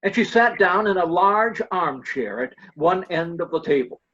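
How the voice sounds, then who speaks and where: A man's voice: high voice, animated, normal speed, a bit bright. Dull-sounding male storybook narrator.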